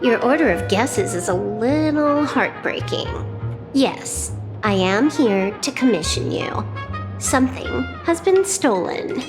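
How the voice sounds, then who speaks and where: A woman voicing a cool, wry young hacker outlaw in a video game, cheeky and unbothered with deadpan wit. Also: fairly high pitch, slow pace, in a large hall, music in the background. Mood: dry. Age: young adult.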